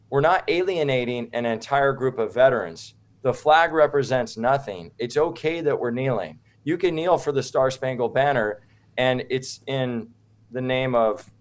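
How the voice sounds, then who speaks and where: A man from the United States speaking as an interview guest, informal, opinionated and rambling. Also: mid-range voice. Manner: informal, rambling, checks in with "right?".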